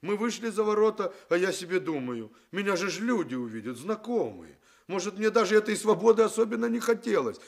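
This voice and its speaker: An actor playing a roguish young thief in a radio play, colloquial and wheedling. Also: male voice, high-pitched, a little fast, gravelly, full character voice. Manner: wheedling, colloquial, theatrically expressive. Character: roguish young male Odessa thief, cheeky yet pleading. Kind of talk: Soviet radio play acting.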